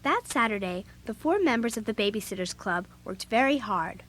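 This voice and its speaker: A woman's voice: mid-range voice, lively intonation, normal speed, a bit bright. A young female actor playing a spirited, outspoken girl babysitter in an audio drama, narrating and speaking with youthful emphasis. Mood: earnest. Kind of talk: first-person audio drama narration and dialogue.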